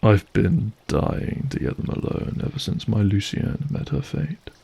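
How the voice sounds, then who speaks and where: A man playing a suave, menacing monster in a scripted audio drama, mock-courteous and theatrical with a gravelly, husky voice. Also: lowish voice, expressive, unhurried, warm tone, full character voice. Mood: menacing, smug. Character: suave, menacing male monster host, mocking and vengeful.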